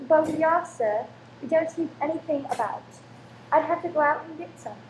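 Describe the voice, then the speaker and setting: A woman's voice: high voice, moderate pace, natural voice. An actress in a radio play playing a deferential married landlady who is polite, eager and anxious to please. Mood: flustered, apologetic. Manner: servile, polite, flustered when rebuked. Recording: roomy echo with faint background noise.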